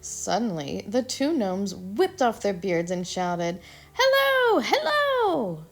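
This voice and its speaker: A woman reads a children's story aloud with a lively, expressive delivery. Her voice has a gravelly texture. Faint music plays behind her. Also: mid-range voice, slow pace. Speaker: storybook reader. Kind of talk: children's read-aloud narration.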